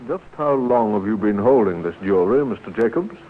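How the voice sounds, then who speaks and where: An actor playing a calm, practical police inspector with an authoritative manner in a 1950s radio drama. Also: male voice, on the low side, brisk. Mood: businesslike. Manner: matter-of-fact, authoritative. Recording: tinny, noisy 1950s radio recording.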